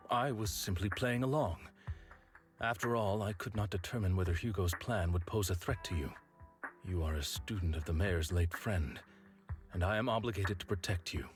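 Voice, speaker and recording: A man voicing a deep formal wolf butler. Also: normal speed, music in the background, close to an everyday voice. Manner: formal, stiff and courteous. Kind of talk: game cutscene acting.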